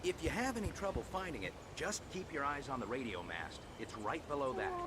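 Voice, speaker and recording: An actor playing a friendly, easygoing park ranger in a video game cutscene. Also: male voice, medium pitch, lively intonation, brisk, noisy background, close to an everyday voice. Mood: friendly, helpful. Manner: affable, easygoing.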